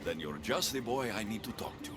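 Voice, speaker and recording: A man's voice: fairly low pitch, lively intonation, fairly quick, lightly acted. A man playing a bold, blunt adventurer in a video game, with an assertive, dry delivery. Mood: pressing, threatening. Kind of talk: video game cutscene acting.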